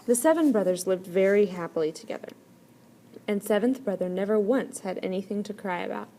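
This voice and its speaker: A woman reading a children's story aloud as an expressive storyteller. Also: medium pitch, fairly quick. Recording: clear background noise.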